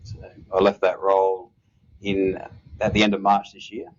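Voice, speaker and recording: A man in his fifties with an Australian accent, a mining executive answering interview questions in a measured way, pausing often with "uh". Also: low-pitched, monotone, highs cut off. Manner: measured, with frequent "uh" pauses.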